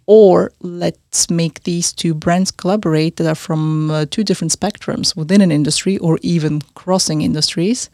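A woman's voice: lowish voice, fairly even intonation, normal speed, hardly any pauses, mellow, no echo, clean studio sound. A young woman from Central Asia, raised in Austria, answering podcast questions as a fashion designer and consultant, talking fluently and earnestly.

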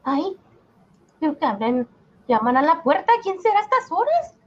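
Radio comedy: devout, excitable immigrant wife, very animated, exclaims and frets, dull lo-fi sound. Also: female voice, high-pitched, normal speed. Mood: uneasy. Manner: exclaiming, wailing when worried. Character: fretful, pious wife of an immigrant banker. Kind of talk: comic radio drama acting.

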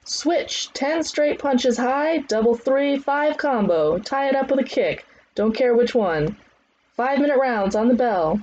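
A woman voicing a loud, foul-mouthed, demanding old combat trainer who barks commands in an audiobook. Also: mid-range voice, lively intonation, normal speed, dull audio, slight background noise, lightly acted. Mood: commanding. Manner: barking commands. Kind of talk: acted audiobook dialogue.

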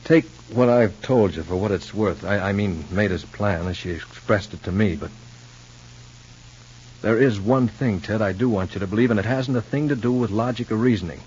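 An actor playing an earnest, concerned confidant in an old radio soap opera, with a halting, emphatic delivery. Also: male voice, on the low side, darkish tone, breathy edge. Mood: pleading. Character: earnest, concerned male confidant pleading for understanding. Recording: muffled old radio recording, noisy hum.

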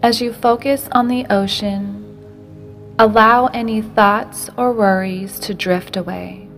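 A woman narrating a guided meditation in a slow, gentle, unhurried way, with softly breathed phrase endings. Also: medium pitch, fairly even intonation, faint music behind.

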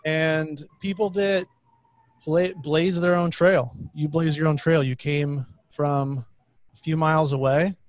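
A man's voice: on the high side, fairly even intonation, takes it slowly, no echo. A man hosting a podcast interview, chatty and rambling, circling around his questions with many restarts. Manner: rambling, lots of fillers and restarts. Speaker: podcast host. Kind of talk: casual interview.

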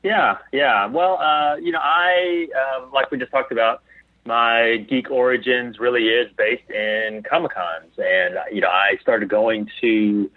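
A man as an interview guest, telling anecdotes casually and haltingly over a tinny remote call. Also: fairly low pitch, no room sound. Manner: halting, repeats phrases as he thinks aloud.

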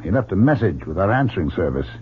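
A man's voice: low-pitched, big pitch swings, fast, slightly rough, heavily acted. An actor playing a stern, worried older father and doctor in a radio drama, earnest, formal and insistent. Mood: probing. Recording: dull, lo-fi old radio recording.

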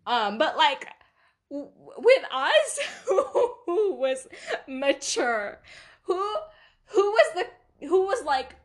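A woman with an accent talks through a casual solo podcast, her voice sitting fairly high. She takes her time, wandering between thoughts and breaking into laughter at her own stories. The tone is loose and self-mocking. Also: big pitch swings, very slow. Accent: non-native accent. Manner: rambling, self-deprecating, laughs often. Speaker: podcast host.

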